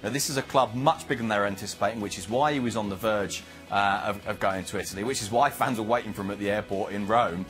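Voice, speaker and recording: A man speaking as a football journalist, quick and full of small restarts. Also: fairly low pitch, a bit bright, faint music behind. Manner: rapid, hesitant restarts. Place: TV studio.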